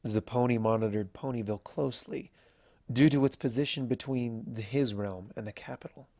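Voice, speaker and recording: A storyteller narrates scripted fiction with measured, dramatic delivery. The voice sits at a middling pitch and is recorded dry, with very little room sound. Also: a little mellow, no room sound.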